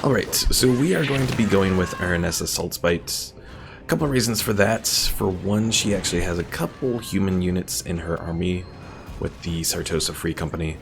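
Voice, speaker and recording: A man's voice: sits low, moderate pace, vocal fry, background noise. A young man giving easygoing, chatty live commentary as he streams a game. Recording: faint music behind the voice.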